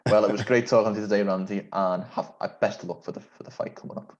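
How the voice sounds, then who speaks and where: Male host, courteous questions, somewhat low voice, muffled call audio. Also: fairly even intonation, quick pace, a little mellow. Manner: polite, formal, complimentary. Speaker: interview host. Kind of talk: interview questions.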